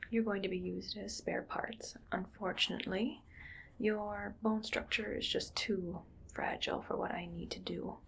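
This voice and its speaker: A woman playing a cold, calculating mad scientist in an ASMR roleplay, whispery and creaky, speaking in a clinical, deliberate, quietly menacing way. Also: medium pitch, fairly even intonation, slight background noise. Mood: coolly pleased.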